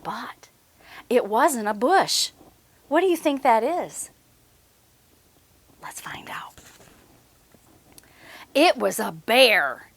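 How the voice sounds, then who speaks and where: A woman's voice: a little high, big pitch swings, drawn-out words, long pauses, fairly bright tone. A woman hosting a children's story time, reading aloud and chatting encouragingly with simple questions for young listeners. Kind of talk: children's read-aloud and chat.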